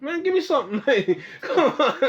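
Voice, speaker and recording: A man's voice: very high-pitched, very animated, measured pace, a bit bright. Slangy young man on a muffled recording. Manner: loose, slangy, laughs a lot, swears casually. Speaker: podcast host. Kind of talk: casual chat.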